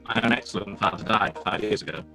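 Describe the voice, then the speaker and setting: Male actor voicing a stiff, proud young gentleman for a radio play reading; low, crisp and bright, soft music underneath. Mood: grave, earnest. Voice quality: formal, measured, stiffly polite. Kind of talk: live-read radio play acting.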